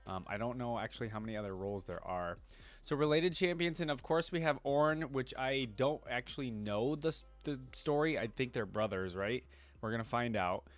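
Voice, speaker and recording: Casual man reacting and reading aloud. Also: mid-range voice. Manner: informal, thinking aloud with questions to himself. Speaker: reaction video host.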